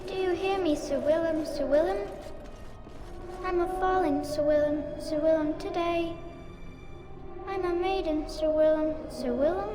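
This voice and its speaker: A woman's voice: very high-pitched, fairly even intonation, unhurried. An actress playing a ghostly little girl in a video game, chanting eerie singsong rhymes. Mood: eerie, plaintive. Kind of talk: video game horror acting. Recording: cavernous reverb, distant, under loud music.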